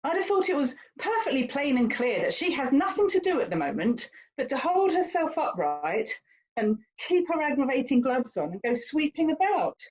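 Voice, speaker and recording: A dull, slightly lo-fi recording of a woman acting a haughty young lady in a period stage drama. Her voice sits fairly high and swoops about as she lectures like a worldly, condescending older sister, stressing her points theatrically. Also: very animated, normal speed, darkish tone. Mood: exasperated.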